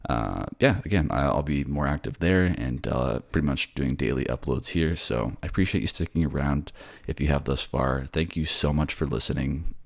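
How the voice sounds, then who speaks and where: This is a male voice actor speaking to his audience. His voice sits extremely low, with a pronounced creaky, gravelly texture. He talks as the narrator and creator of his own audio series. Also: moderate pace, few pauses. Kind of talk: narration and casual sign-off to listeners.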